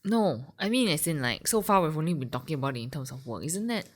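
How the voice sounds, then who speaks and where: A woman's voice: deep voice, expressive, quick pace. A woman of thirty, co-hosting a podcast, musing and questioning as she trails off. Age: 30s. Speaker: podcast co-host. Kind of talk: casual chat.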